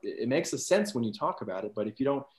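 A man's voice: medium pitch, lively intonation, fast, roomy sound. A man in his twenties, a guest on a podcast, talking about money in an easygoing way, with loose restarting phrases. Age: 20s. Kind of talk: casual chat.

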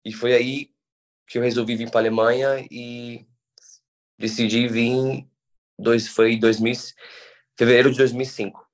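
A man's voice: medium pitch, little pitch movement, a little slow, pauses often. A man in his thirties from São Paulo with a São Paulo accent, a guest who explains things patiently and often restarts his words. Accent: São Paulo Brazilian Portuguese. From Brazil. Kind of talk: conversational interview.

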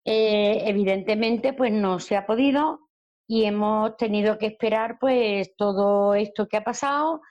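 A woman's voice: medium pitch, unhurried, a little mellow, muffled recording. A woman with an Andalusian accent who coordinates groups at a campsite, explaining things step by step in an easygoing way, with many fillers. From Andalusia, Spain. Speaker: campsite group coordinator and social media manager. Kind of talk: casual interview answers.

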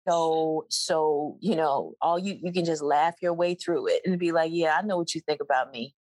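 A woman guest with a fairly low voice, recorded close and dry. She tells her stories in an animated, wry way, with laughs running through them. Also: normal speed, dry recording. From Mississippi, USA. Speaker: actor and guest. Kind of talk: storytelling in an interview.

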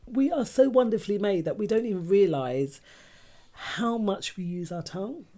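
Mellow-voiced woman radio host. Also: medium pitch, expressive, moderate pace. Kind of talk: casual radio chat.

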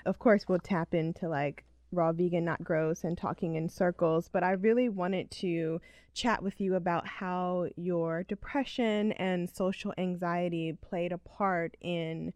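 A woman's voice: lowish voice, steady tone, measured pace, darkish tone, no echo. A woman hosting a podcast, friendly and chatty, laughing easily as she asks questions in a casual interview. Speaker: podcast host.

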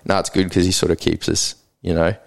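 A man's voice: low-pitched, fairly quick, vocal fry. A man in his thirties from South Africa, native Afrikaans speaker, talking casually and rambling as a podcast guest. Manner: relaxed, rambling, heavy on fillers like "like" and "sorta". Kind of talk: casual conversational interview.

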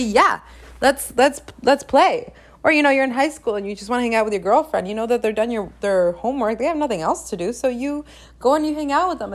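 A young woman hosting a podcast in a casual, candid chat, repeating phrases for emphasis, a native Spanish speaker. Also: fairly high pitch. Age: young adult. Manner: chatty, candid, emphatic with repetition. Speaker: podcast host.

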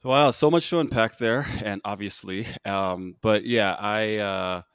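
A man's voice: fairly low pitch, measured pace, a bit bright, smooth, no room sound, studio quality. A man hosting an interview, talking in a loose, rambling way with many restarts and fillers. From United States. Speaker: podcast host. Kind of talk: casual interview chat.

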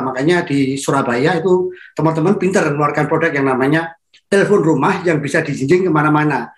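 A man's voice: a little high, echoey room. A man with a nasal, stuffed-up voice co-hosting a casual motor racing chat, playful and full of puns, speaking from a hotel room. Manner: playful, punning, chuckles often. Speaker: podcast co-host.

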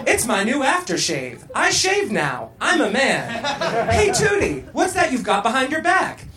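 A woman's voice: high voice, wildly swooping pitch, unhurried, a crowd in the background, voice pushed up, exaggerated voice. Woman playing a nervous, earnest teenage boy. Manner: blurting, earnest.

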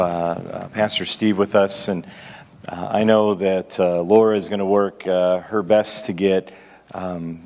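Loud low-voiced host pastor, church reverb. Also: male voice. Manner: halting, many "uh" fillers. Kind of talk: church announcements.

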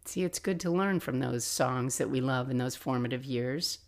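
Low-voiced older woman telling stories. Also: normal speed. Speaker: podcast host. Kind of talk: personal storytelling monologue, casual and reflective.

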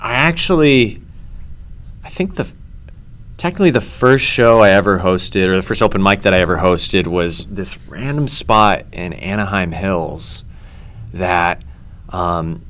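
A man with a very low voice talks through old stories in a loose, rambling way. His tone is bright and smooth, full of ums and restarts as he gathers his thoughts. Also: measured pace. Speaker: comedy show host. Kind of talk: casual podcast chat.

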